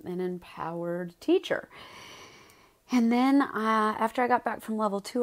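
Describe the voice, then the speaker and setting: A woman's voice: on the low side, a little mellow. A woman in her thirties with a Wisconsin accent, a yoga teacher chatting casually about her path, with many ums and likes. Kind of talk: casual personal podcast monologue.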